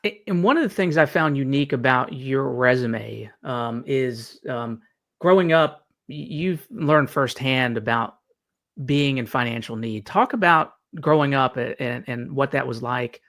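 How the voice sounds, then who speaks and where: A man hosting a casual podcast interview, keeping the guest talking with steady murmurs of agreement. His voice is middle-pitched and plain. He asks his questions in a relaxed, conversational way. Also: a little slow. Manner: encouraging, lots of backchannel. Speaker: podcast host.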